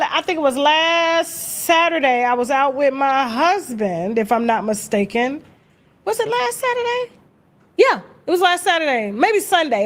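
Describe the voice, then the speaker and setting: An American woman telling gossip in a casual, animated, story-like way, brash and sassy, swearing freely and acting out conversations. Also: high-pitched. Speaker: gossip vlogger and host.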